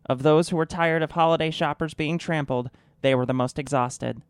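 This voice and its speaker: Man as smooth, grave documentary narrator. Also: a little high, steady tone, moderate pace, no room sound, studio quality, natural voice. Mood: portentous. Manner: portentous, dramatic. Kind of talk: scripted narration.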